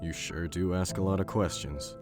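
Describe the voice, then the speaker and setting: A man's voice: deep voice, steady tone, moderate pace, natural voice. A man playing a blunt, protective soldier who talks tersely. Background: music.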